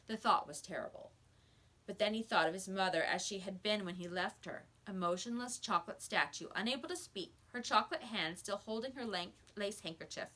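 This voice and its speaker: A woman reading a children's story aloud as a teacher would, with expressive narration. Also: medium pitch.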